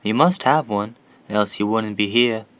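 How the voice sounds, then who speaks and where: An actor playing an eager, devoted young painter and asylum patient, informal and fervent, in an audio horror drama. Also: male voice, fairly low pitch, measured pace, a bit bright, no echo. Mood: fervent, awed.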